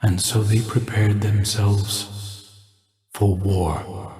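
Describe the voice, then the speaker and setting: An actor playing a grave male storyteller, narrating an ancient legend in measured phrases for a video game. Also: on the low side, level tone, darkish tone, reverberant, faint music behind. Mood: solemn. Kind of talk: slow storybook narration of a myth.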